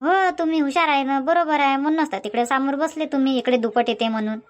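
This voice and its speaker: In a dubbed cartoon comedy, a nagging old village grandmother, voiced by a woman, bosses her husband around. She speaks with a Varhadi Marathi accent and answers back fast. Her voice sits high and light. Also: natural voice. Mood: bossy, bickering. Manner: nagging, quick comebacks.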